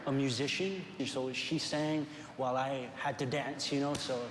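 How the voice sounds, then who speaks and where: A man's voice: mid-range voice, fairly even intonation, moderate pace, a little hiss or hum. A young man from Hawaii talking casually and sincerely in an interview. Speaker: interviewee, fire knife dancer. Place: large echoey indoor room at a YMCA. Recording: echoey hall with clear background ambience.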